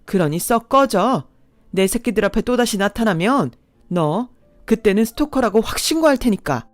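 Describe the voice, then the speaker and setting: A woman's voice: medium pitch, animated, moderate pace, no echo, clean studio sound, soft background music, voice pushed up, natural voice. A woman voicing a hot-tempered older mother who fiercely scolds a man to protect her daughter. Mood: stern. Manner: scolding, loud and forceful.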